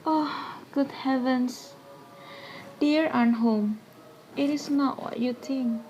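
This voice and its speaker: A woman acting a troubled, gracious married lady in a stage play, speaking earnestly and formally with some halting. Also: sits high, steady tone, a little mellow, soft background music, pitched above the performer's own voice, low-quality recording. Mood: distressed, hesitant.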